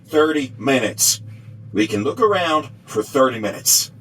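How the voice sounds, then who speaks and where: A man's voice: mid-range voice, lively intonation, measured pace, fairly bright tone, poor audio. A man playing a reluctant, skeptical utility worker in an audio drama. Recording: clear humming machinery noise behind.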